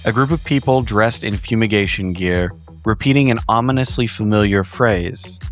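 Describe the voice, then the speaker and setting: A man with a slightly low voice delivers a scripted horror recap. His storytelling is measured and dramatic. Soft music sits behind the words. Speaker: player and recap narrator. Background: background music.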